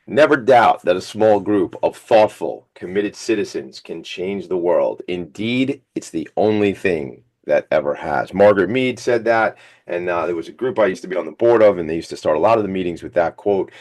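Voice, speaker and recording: A man hosting a radio talk show with a slightly hoarse, scratchy voice, talking in an energetic, rambling, hype-man way. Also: sits low, normal speed. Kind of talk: casual hype radio chat.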